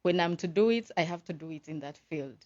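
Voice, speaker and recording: A woman's voice: fairly low pitch, fast, a little mellow, dull audio. A woman from Uganda, a visiting English teacher, talking earnestly and with enthusiasm as a radio guest.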